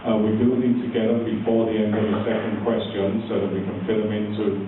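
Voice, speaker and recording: A man emceeing a neighborhood political forum, joking loosely with the crowd and filling pauses with ums. He sounds far off in a very echoey hall. The recording is thin and phone-like, with steady noise underneath. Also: on the low side. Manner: joking, chatty, frequent ums and uhs. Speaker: forum moderator. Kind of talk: live event emcee address. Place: community hall with a large audience.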